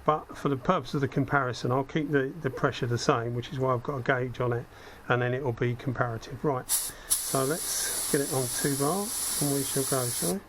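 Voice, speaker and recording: A man with a slightly croaky, cold-affected voice giving a casual hands-on demonstration, thinking aloud as he works. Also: mid-range voice, a little fast, hardly any pauses. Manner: conversational, self-deprecating asides, thinking aloud while working. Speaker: hobbyist host demonstrating spray gun equipment. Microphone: head-mounted action camera. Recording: steady background noise from workspace.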